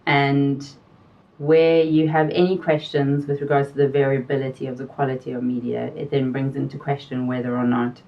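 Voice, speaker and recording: A middle-aged woman with a South African accent, explaining technical quality topics in a measured way, pausing now and then with fillers. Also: rumbling low, warm tone. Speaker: quality consultant guest. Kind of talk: explanatory interview answers. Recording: distant mic, dull sound.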